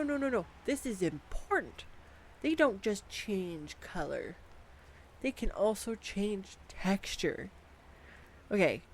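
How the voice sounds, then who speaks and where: A woman voicing an excitable, nerdy young girlfriend who rambles enthusiastically with giggles in a scripted audio roleplay, recorded on a condenser microphone. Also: medium pitch, slight background noise. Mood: excited. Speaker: voice actor. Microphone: USB condenser microphone.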